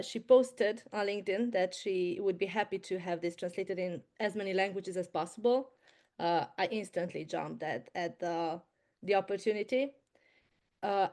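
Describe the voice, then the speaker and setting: A woman with a Hungarian accent, a medical translator speaking as a guest on a video call, softly and with many hesitations. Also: mid-range voice. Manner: soft-spoken, hesitant, many fillers.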